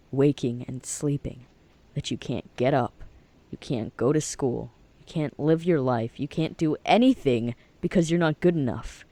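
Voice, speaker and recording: A man's voice: mid-range voice, mellow, close to an everyday voice. A man playing a friendly, earnest teenage boy in an audio drama.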